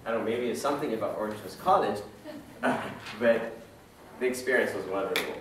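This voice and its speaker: A deep-voiced, middle-aged man from Ethiopia speaks to a class in an easy, conversational way, stopping for fillers and restarting his sentences. He is picked up by a camera far across a large, dim lecture room. The room echoes heavily around his voice. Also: brisk, slight background noise. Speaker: guest lecturer. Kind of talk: classroom talk.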